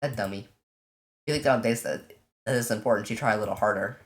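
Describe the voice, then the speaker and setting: A let's player casually commenting on a visual novel and reading its text aloud, in an informal, halting way. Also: medium pitch. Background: game music.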